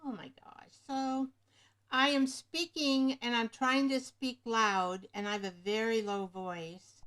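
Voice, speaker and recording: A woman hosting a casual craft livestream, rambling and pausing to search for words, speaking into a webcam microphone. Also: fairly high pitch, steady tone, fairly bright tone. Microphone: webcam microphone above the screen.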